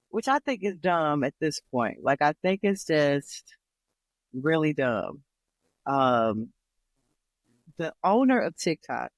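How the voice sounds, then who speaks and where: A woman's voice: deep voice, no room sound. An American woman co-hosting a podcast, chatting casually in a blunt, slangy way. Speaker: podcast co-host. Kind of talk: casual girl-talk chat.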